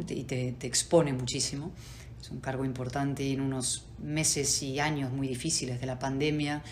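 A woman's voice: a bass voice, slight background noise. A woman in her forties, from Spain and raised in Buenos Aires and London, answering interview questions over a video call in an articulate, assertive way. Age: 40s. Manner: articulate, assertive, measured with pauses. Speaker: politician guest. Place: home study with bookshelves.

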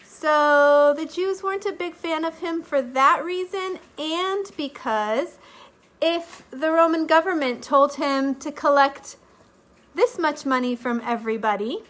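A woman's voice: high voice, measured pace, fairly bright tone. A woman in her thirties, a little stuffy from allergies, giving a children's Bible lesson to camera in a sing-song teacher voice, recorded close up at home. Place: living room at home. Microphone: webcam or phone camera at close range.

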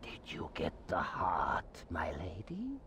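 An actor playing a sly, servile poisoner and envoy in a video game, with a breathy, obsequious, deliberate delivery. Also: male voice, low-pitched, wildly swooping pitch, sharp tone, a little hiss or hum, full character voice. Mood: sly, hushed. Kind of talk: video game villain acting.

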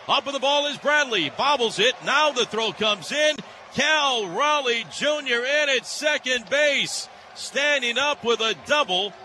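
High, bright older man shouting baseball calls. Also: slight background noise. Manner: excited shouting calls with catchphrases. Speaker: radio play-by-play announcer. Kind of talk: live baseball radio commentary.

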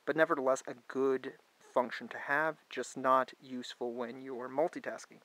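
A man's voice: medium pitch, flat delivery, normal speed, fairly bright tone, low-quality recording. Chatty congested man reviewing a phone. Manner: chatty, thinks aloud, frequent restarts. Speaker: tech reviewer. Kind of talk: casual hands-on phone review.

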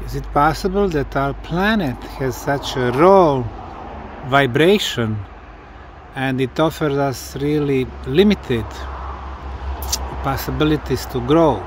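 A man who is a non-native English speaker, putting deliberate questions as an interviewer outdoors. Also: mid-range voice, background noise. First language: not English. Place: outdoors, behind the camera.

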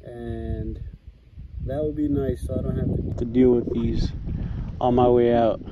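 A man's voice: mid-range voice, flat delivery, a little slow, phone-quality sound. Laid-back young man vlogging from a kayak. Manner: laid-back, chatty, thinking aloud. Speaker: fishing vlogger. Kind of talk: casual outdoor vlog. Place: kayak on a lake outdoors. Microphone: chest-mounted action camera. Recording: faint wind and water noise.

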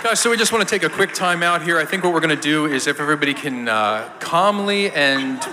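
Male panel host, bright, projecting voice, casual moderating, reverberant noisy hall. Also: a little high, lively intonation, quick pace. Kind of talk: live stage moderating, casual. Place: large indoor convention stage. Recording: echoey hall PA, noisy crowd.

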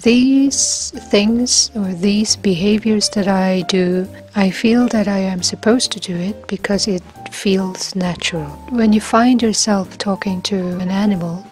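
Slow soft-spoken woman. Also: mid-range voice. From Philippines. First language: Filipino. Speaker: language-learning vlogger. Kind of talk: slow reflective monologue.

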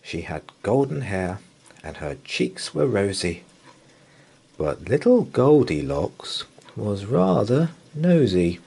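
A man reads a children's picture book aloud, slow and husky. His voice leaps wildly up and down in a sing-song way. It is a gentle bedtime reading. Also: fairly low pitch, very animated. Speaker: children's story reader. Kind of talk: bedtime story read-aloud. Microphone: camera above a picture book.

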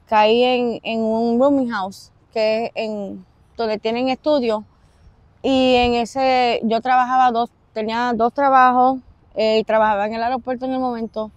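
A young woman with a Puerto Rican accent giving personal testimony in an interview, halting and pausing mid-sentence. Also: fairly high pitch, a little mellow, no room sound, dull audio. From Puerto Rico. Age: young adult. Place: outdoors in an open park.

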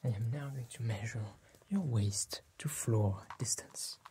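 A young man whispering gently and politely in an ASMR roleplay as a tailor, in a slow, measured, breathy voice close to the mic. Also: medium pitch, very noisy. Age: young adult. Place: small indoor tailor workshop set.

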